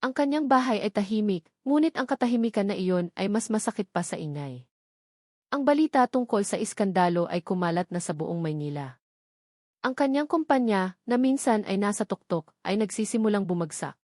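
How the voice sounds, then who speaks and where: A woman's voice: mid-range voice, lively intonation, moderate pace, darkish tone, dry recording, studio quality. A woman reading scripted dramatic narration of a romance story as a storyteller.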